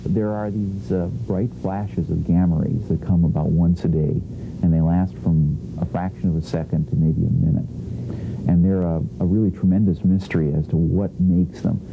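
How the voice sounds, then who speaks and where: A man's voice: deep voice, fairly even intonation, moderate pace, vocal fry, faint music behind. A man giving an explanatory interview answer as a scientist. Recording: dull lo-fi sound.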